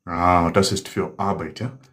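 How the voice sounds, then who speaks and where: A man's voice: deep voice, level tone, measured pace, fairly bright tone. A man teaching a live German class, encouraging his students with many okays and mhms. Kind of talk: live online language class.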